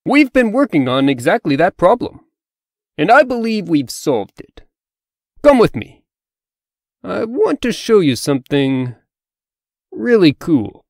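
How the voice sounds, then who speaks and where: A man's voice: sits high, big pitch swings, a little slow, long pauses, no room sound, clean studio sound, exaggerated voice. A man voicing a scheming, persuasive revolutionary farm pig in a comic dub, earnest and conspiratorial. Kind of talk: comic dub character acting.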